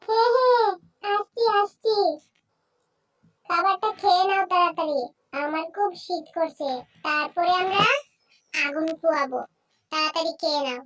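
A woman's voice: extremely high pitch, moderate pace, long pauses, a bit bright, recorded from a distance, low-quality recording. A woman voicing an overworked, scolding village wife in a cartoon, nagging and indignant. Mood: hurried. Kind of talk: cartoon voice acting.

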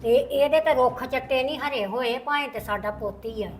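A woman's voice: sits high, a little fast, lightly acted. A woman playing an elderly mother in a family drama, plaintive and imploring, speaking. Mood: pleading, sorrowful. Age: older. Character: pleading, scolding elderly mother of a wayward son.

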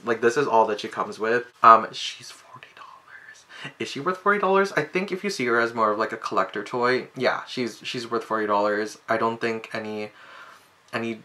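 A young man casually reviewing a collector doll in his bedroom, chatty and full of fillers, with sudden excited jumps in pitch. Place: bedroom, indoors. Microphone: webcam framing at desk.

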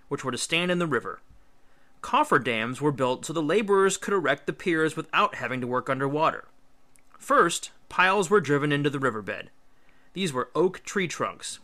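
A man's voice: on the high side, pushed and bright. A male teacher reading an illustrated history book aloud, with emphatic, instructional phrasing.

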